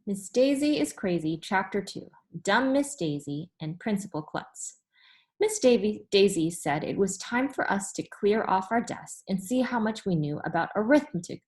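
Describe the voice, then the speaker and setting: A woman reading a children's story aloud as an expressive narrator. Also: medium pitch, normal speed.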